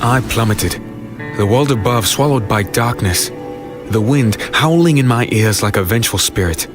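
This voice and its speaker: A man playing an earnest fantasy hero who narrates his ordeal gravely and dramatically. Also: mid-range voice, expressive, moderate pace, natural voice. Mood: grim. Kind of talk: scripted dark fantasy audio drama narration. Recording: very loud dramatic music behind.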